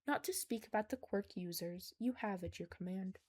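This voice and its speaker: A woman plays a whiskered, highly intelligent creature held captive in a story. It speaks in measured, precise sentences. Its manner is analytical and unemotional, made for an audiobook. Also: a little mellow, lightly acted. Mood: calculating. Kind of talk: audiobook character dialogue.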